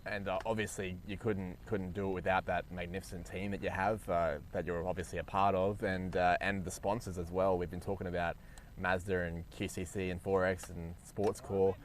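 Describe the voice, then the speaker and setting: A man commentating a cricket match live, chatty and quick to laugh. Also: low-pitched, little pitch movement, slight background noise. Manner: chatty, laughs easily, many restarts.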